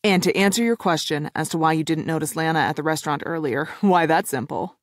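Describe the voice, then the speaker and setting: A woman voicing a cheerful, easygoing young man playing matchmaker, in a slightly lower, relaxed tone. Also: brisk, no room sound, clean studio sound, natural voice. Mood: amused.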